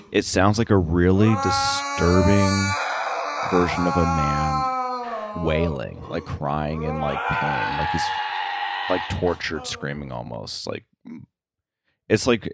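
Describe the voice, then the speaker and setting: Low-voiced man, hesitant and thinking aloud. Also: very noisy. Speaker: podcast guest, sound effects editor. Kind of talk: casual interview chat.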